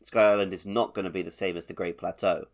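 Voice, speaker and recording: Lowish-voiced man explaining things casually. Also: steady tone, quick pace. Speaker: podcast co-host. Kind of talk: casual gaming discussion.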